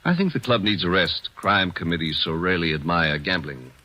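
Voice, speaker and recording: A man playing a smooth, sardonic crime boss giving orders in an old radio drama. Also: sits low, animated, brisk, pushed and bright, exaggerated voice. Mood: silky. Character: suave scheming male crime boss. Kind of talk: 1940s radio acting.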